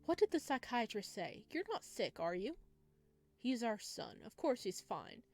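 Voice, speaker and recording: A woman's voice: a little high, sing-song, measured pace, darkish tone, no echo, full character voice. A woman voicing an anxious, insistent parent in a visual novel, pressing and in denial. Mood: worried, insistent. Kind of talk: visual novel character reading. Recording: music behind the voice.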